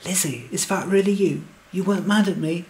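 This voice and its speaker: A man's voice: mid-range voice, steady tone, moderate pace, creaky, slight background noise, lightly acted, rough recording. A man playing a lovelorn, anxious, wry fellow who tells his own comic story in earnest, wordy scripted lines. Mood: hopeful, yearning. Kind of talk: scripted comic first-person narration and verse.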